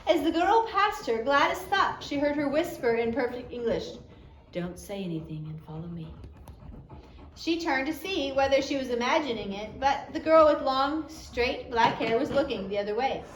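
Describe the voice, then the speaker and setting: High-voiced woman reading in an echoey room. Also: lively intonation, normal speed, hardly any pauses. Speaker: audiobook reader. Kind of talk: storybook narration, read aloud. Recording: roomy echo, faint background noise.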